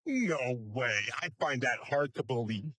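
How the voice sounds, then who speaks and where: Teasing guy on color commentary, bright sharp voice, totally dry sound, close mic. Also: mid-range voice, sing-song, measured pace, no room sound. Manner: playful, teasing, sarcastic. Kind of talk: joking live game commentary.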